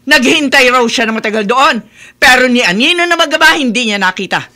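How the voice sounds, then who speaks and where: A woman's voice: high voice, expressive, fairly quick, pushed and bright. A woman playing a disapproving, domineering middle-aged mother in a radio soap, scolding in Tagalog mixed with English. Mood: stern. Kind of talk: Philippine radio soap opera acting.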